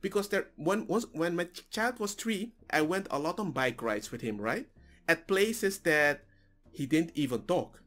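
A man's voice: on the high side, expressive, a little slow, fairly bright tone, soft background music. A man in his forties whose first language is Papiamento, musing casually in a reaction vlog from a small home room, with restarts and slips. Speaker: reaction video host. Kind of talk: casual reflective monologue. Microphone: headset.

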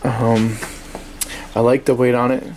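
A man giving a casual, halting, offhand unboxing review at a desk in a small indoor workspace. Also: medium pitch. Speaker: product reviewer. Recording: steady noisy room ambience.

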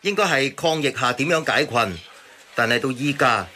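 A man playing a reasonable, informed character who explains the news in measured terms in a radio drama. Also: mid-range voice, lively intonation, sharp tone, slight background noise. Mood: reasonable, explaining.

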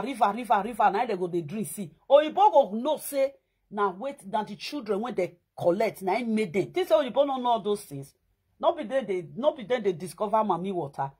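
Husky, lively woman with Nigerian Pidgin accent. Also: a little high. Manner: animated and emphatic. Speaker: vlogger. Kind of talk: casual chat to viewers. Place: indoors against a plain wall.

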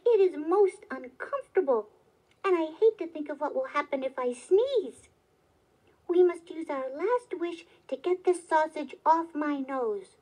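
A woman's voice: high voice, animated, unhurried, dark tone, rough recording. A woman voicing a grumbling, emphatic male woodcutter in a children's storybook. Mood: angry, dismayed. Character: plain-living, grumbling male woodcutter and husband.

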